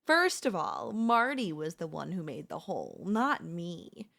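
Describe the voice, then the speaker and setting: A woman's voice: on the low side, huge pitch swings, vocal fry, a bit bright, full character voice. A woman voicing a chatty, teasing zombie in a comedic audio roleplay, talking casually and playfully straight to the listener. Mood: teasing, persuasive. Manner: casual, playful, taunting, slangy, drawn-out delivery. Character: chatty, teasing, cocky female zombie hunting a human survivor.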